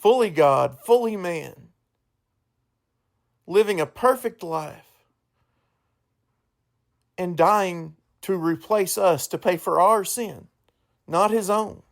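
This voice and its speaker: Podcast guy, kinda higher voice, really slow and halting, informal but earnest. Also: vocal fry. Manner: halting, self-correcting. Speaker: podcast host. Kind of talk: informal solo podcast talk with earnest preaching.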